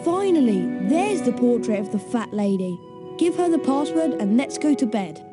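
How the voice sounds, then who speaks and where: A woman's voice: a little high, big pitch swings, normal speed, over loud music. A woman voicing an earnest young schoolboy wizard in a video game. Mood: impatient.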